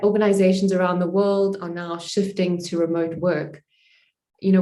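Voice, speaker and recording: A woman's voice: on the low side, warm tone. South African woman presenting in an echoey room. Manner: conversational, frequent "you know" fillers. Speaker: sales presenter. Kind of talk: webinar presentation.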